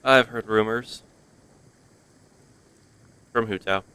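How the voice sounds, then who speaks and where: A male game streamer with a clean, resonant, slightly deep voice. He comments casually on the game, slipping in playful asides. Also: fairly even intonation, slow pace, bright, forceful tone, clear, resonant tone.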